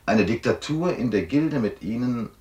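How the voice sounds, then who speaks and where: An actor playing a shrewd, relentless police investigator in a science fiction radio drama, speaking with insistent, deliberate emphasis. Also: male voice, medium pitch, expressive, darkish tone, far from the mic, lightly acted. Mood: accusing, confident. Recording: roomy studio echo.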